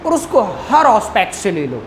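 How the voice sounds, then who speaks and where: A woman's voice: mid-range voice, wildly swooping pitch, normal speed. A woman with a Pakistani accent giving an explanatory law lecture, often checking that students follow with short tag questions. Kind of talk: explanatory classroom lecture mixing Urdu and English legal terms. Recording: clearly noisy room recording.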